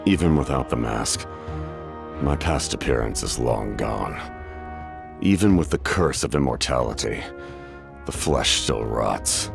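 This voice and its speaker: A man playing an ancient, cursed, masked warrior captain in a video game, solemn and haunted, speaking in a grave, formal, measured way. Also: expressive, over music, full character voice. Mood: somber, weary. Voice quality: very deep and gravelly. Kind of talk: video game character acting.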